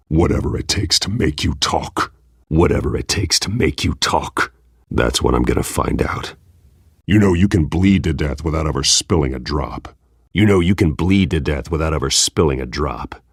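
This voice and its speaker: Grim masked vigilante, extremely deep, raspy growl, terse, intimidating, video game acting. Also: male voice, dry recording, studio quality, heavily acted. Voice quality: very low, gravelly growl. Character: grim, menacing masked vigilante detective, adult man.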